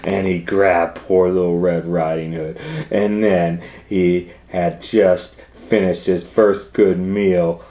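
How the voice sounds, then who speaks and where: Slow, deep-voiced male storyteller. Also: little pitch movement. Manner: dramatic, swinging from hushed to loud. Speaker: host and storybook reader. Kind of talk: animated children's storytelling. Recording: faint background noise.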